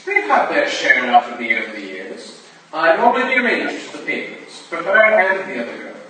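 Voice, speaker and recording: Casting: actor as tipsy upper-class braggart, highish animated voice, swaggering and confiding, period stage drama. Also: male voice, normal speed. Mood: self-important. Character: boastful, drunken upper-class English gentleman rake. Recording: echoey room from far off, noisy.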